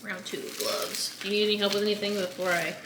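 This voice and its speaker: A woman's voice: mid-range voice, level tone, quick pace, background noise. A young woman chatting casually while eating, with a dry, teasing humor. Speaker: co-host, wife. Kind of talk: casual mukbang chat.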